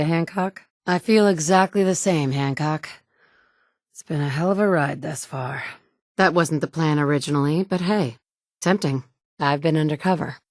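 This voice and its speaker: An actress voicing the player heroine of a wasteland game, delivering short, clipped, matter-of-fact lines. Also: female voice, on the low side, darkish tone, no room sound, studio quality. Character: woman survivor, player hero of a wasteland game. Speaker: player character.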